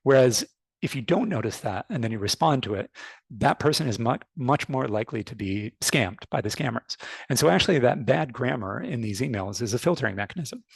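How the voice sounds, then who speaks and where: A man's voice: mid-range voice, creaky, breathy edge, dry recording. A man in his forties with a North American accent, giving a conversational webinar talk full of restarts and fillers. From North America, born in Canada, raised in the United States. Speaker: fintech speaker. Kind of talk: webinar presentation. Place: online call.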